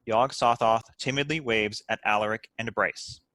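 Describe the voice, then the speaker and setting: A man's voice: lowish voice, fairly even intonation, moderate pace, pushed and bright, no echo, low-quality recording. A man reading stage directions aloud as scripted narration for a comedy script.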